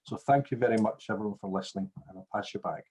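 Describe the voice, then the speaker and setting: A man's voice: fairly low pitch, quick pace, a little mellow, slightly rough. A man, a director of a business organisation, giving a formal webinar presentation with upbeat promotional turns over a video call.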